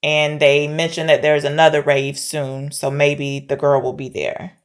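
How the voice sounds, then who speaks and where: Low-voiced woman chatting informally about films. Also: completely flat delivery, unhurried, smooth. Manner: informal, questioning, unsure of details. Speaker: podcast co-host discussing films. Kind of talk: casual conversation, recapping a film.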